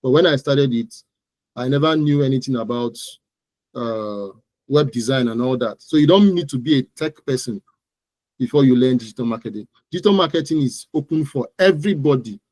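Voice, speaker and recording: Nigerian guy explaining stuff in an interview, average pitch, repeats himself for emphasis, dry recording. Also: brisk, darkish tone, dry recording, low-quality recording. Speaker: guest expert, digital marketer. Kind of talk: informal explanatory interview talk.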